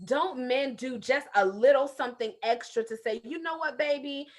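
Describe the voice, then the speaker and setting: A woman's voice: sits high, normal speed. A woman hosting a casual podcast chat, speaking in an emphatic, playful and colloquial coaching manner. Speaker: podcast host.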